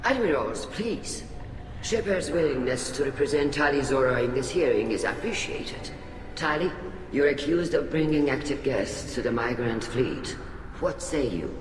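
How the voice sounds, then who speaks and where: A man's voice: mid-range voice, lively intonation, moderate pace, slight background noise, close to an everyday voice. A man playing a grave, authoritative alien admiral presiding over a hearing in a video game cutscene, speaking formally. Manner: formal, solemn. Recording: echoey hall, music underneath.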